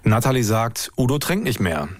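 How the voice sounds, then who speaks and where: A man's voice: medium pitch, very animated, normal speed, dry recording, studio quality. A man with pronunciation hosting a podcast, reading scripted narration.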